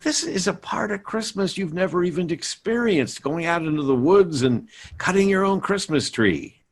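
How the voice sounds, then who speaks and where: A man's voice: high voice, animated, normal speed. An actor playing a stubborn, idealistic middle-aged American father in a 1950s-style radio sitcom, emphatic and given to stammering. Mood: exasperated. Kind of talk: 1950s radio sitcom acting, performed by players.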